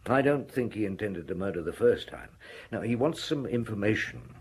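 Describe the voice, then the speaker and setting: Calm old-school detective chief in a radio play, low voice that moves around a lot, musing. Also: male voice, normal speed, husky. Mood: probing, assured. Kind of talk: audio drama acting.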